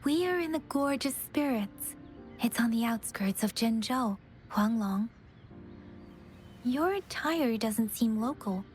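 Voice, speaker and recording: A woman playing a calm, caring young female scout in a video game, soft-spoken, measured and polite. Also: fairly high pitch. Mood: calm, serious. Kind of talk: video game character voice acting. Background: background game music.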